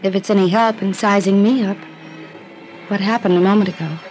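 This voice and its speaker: A woman playing an earnest romantic female lead in an old radio drama, with a gentle, sincere manner. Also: medium pitch, steady tone, fairly quick, soft background music, natural voice. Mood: tender, earnest. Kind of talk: 1950s radio drama acting.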